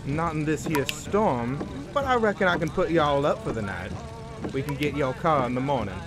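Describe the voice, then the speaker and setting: A man's voice: on the high side, animated, normal speed, over music, natural voice. An actor playing a folksy but sinister Southern plantation man with a Southern US drawl in a horror audio drama. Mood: folksy, guarded. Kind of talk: radio-style horror drama acting.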